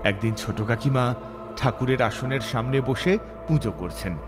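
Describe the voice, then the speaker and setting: A man reading a scripted dramatic story as narrator, expressive and suspenseful. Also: medium pitch, normal speed. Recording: voice over prominent background music. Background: music.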